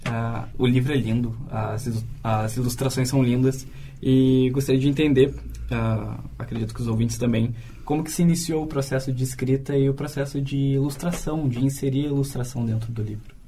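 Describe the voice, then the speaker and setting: A man's voice: mid-range voice, fairly even intonation, fairly quick, dark tone, a little hiss or hum. A Brazilian man, a radio reporter, asking polite questions in an interview. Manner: polite, gives short backchannels.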